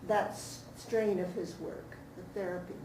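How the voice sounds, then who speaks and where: A woman asking a question informally from the audience, in halting, thoughtful phrases. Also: lowish voice, flat delivery. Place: indoor room at a post-reading talkback. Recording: noisy, echoey, distant room recording.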